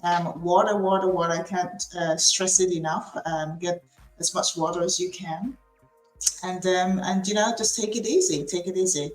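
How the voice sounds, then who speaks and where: Woman podcast host rambling casually, um-heavy, lower voice, roomy echo. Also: steady tone, moderate pace, faint music behind. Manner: chatty, many fillers and restarts. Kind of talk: casual interview chat. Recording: echoey room.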